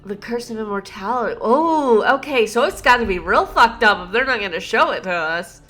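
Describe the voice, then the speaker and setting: A woman's voice: fairly high pitch, expressive, a little fast, bright, forceful tone. A woman streaming a video game, reacting casually and expressively as she plays. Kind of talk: casual live gameplay reaction. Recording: game music behind the voice.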